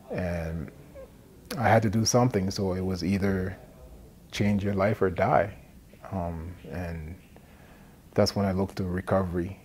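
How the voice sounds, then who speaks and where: A middle-aged man from Liberia gives a personal testimony in a halting, plain-spoken way, often adding "you know". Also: deep voice, a little mellow. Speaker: addiction recovery centre support worker. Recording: noticeable background noise.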